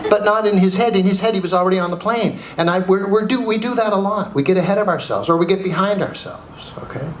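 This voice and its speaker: A middle-aged Canadian man giving a humorous motivational keynote as an animated storyteller, repeating phrases for emphasis. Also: sits high, quick pace, hardly any pauses. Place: indoor speaking venue with audience. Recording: roomy live hall, faint background noise.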